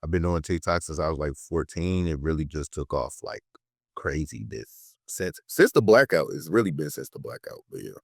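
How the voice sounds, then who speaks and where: Male podcast guest, late teens, deep voice, dry close sound, informal emphatic storyteller. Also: animated, moderate pace, dry recording. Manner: informal, slangy, emphatic repetition. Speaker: podcast guest, political educator and content creator. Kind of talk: casual conversational storytelling.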